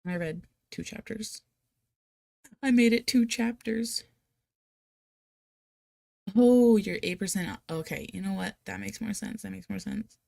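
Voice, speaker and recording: A woman's voice: medium pitch, unhurried, vocal fry, long pauses, warm tone, no room sound, clean studio sound. A woman with a Rhode Island accent hosting a casual live chat, talking in a chatty, self-deprecating, giggly and playfully dramatic way. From United States, Rhode Island. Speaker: live stream host of reading sprints.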